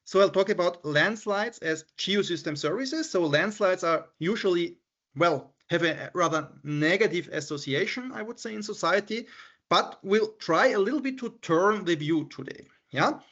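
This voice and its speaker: A man's voice: high-pitched, expressive, normal speed, a bit bright. A middle-aged man with an Austrian German accent giving an online seminar as a professor, hesitant and repeating words, with many fillers. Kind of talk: online academic lecture. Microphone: computer mic on a video call. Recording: slightly muffled video-call audio.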